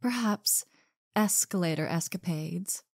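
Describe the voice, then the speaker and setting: A vain diva of the theatre, acted by a woman for an audio drama. Her voice sits fairly low and is recorded dry, with a haughty, theatrical delivery that suggests she is always scheming. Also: unhurried, vocal fry, dry recording. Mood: smug. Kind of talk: audio roleplay drama acting.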